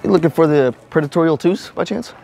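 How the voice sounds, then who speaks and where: A man's voice: on the high side, fast, vocal fry, over music. A young American man bantering casually in a slangy, profane way as he pulls pranks in public. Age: young adult. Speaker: prankster, video host. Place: large indoor supermarket. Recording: noisy store ambience, camera microphone.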